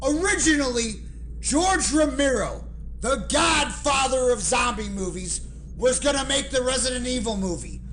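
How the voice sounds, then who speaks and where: A reviewer delivering a scripted segment in a deliberate, emphatic way with dramatic pauses. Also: high voice, expressive, fairly bright tone, slight background noise, poor audio. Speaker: guest film reviewer.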